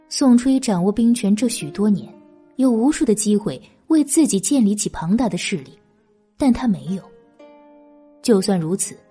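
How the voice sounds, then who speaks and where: Shrewd, unyielding female strategist, played by a woman, historical audiobook drama. Mellow, measured, slightly high. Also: husky.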